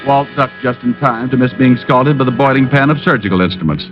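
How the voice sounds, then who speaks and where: A man's voice: mid-range voice, fairly quick, lightly acted. A man playing a wisecracking, cool-headed private detective in vintage radio drama style. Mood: urgent narrating. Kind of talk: 1940s-50s radio drama acting with narration. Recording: muffled old radio recording with music.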